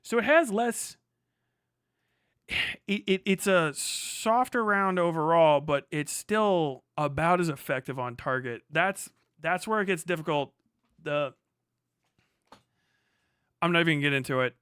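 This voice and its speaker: A man livestreaming casually, muttering figures as he reads and thinking aloud in halting bursts with plenty of swearing. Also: fairly high pitch, fairly bright tone, smooth, no echo, studio quality. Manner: swears freely. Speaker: live streamer.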